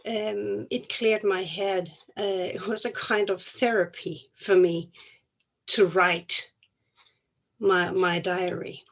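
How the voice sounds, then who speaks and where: A middle-aged woman with a Danish accent, giving a personal talk in a halting, reflective way with pauses mid-phrase. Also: medium pitch, a bit bright. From Denmark. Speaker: occupational therapist and craniosacral therapist.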